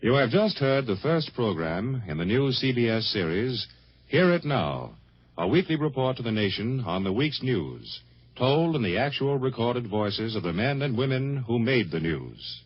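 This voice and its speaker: A man's voice: medium pitch, big pitch swings, normal speed, husky. An American man announcing formally in a 1950s network radio style. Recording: dull lo-fi 1950s radio recording. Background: music.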